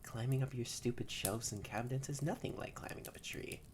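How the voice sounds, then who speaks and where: A young adult performer voicing a haughty, grumpy yet secretly shy catboy in an intimate roleplay audio drama, stammering and often slipping into breathy near-whispers. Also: mid-range voice, fairly quick, a little mellow, slight background noise, close to an everyday voice. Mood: haughty, grumbling.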